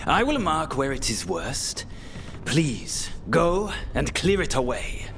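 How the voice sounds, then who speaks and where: An actor playing a theatrical, courteous fantasy assassin with a flamboyant, formal manner in a video game. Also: male voice, medium pitch, sing-song, slow pace, fairly bright tone, slight background noise. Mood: courteous caution.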